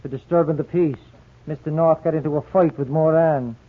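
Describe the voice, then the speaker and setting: Man playing a high-voiced, slangy American police sidekick. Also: steady tone, brisk, close to an everyday voice. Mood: dutiful. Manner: folksy, slangy. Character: plain-spoken, dutiful police sidekick. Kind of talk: 1940s radio drama acting. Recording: tinny, distant 1940s radio recording.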